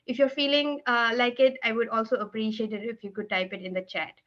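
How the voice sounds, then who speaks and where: A woman's voice: a little high, fairly even intonation, fairly quick, a bit bright. A woman presenting a book chapter to an online group from her room at home, explaining steadily with many "uh" fillers. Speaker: presenter and event organizer. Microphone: video call. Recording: dull lo-fi video call audio.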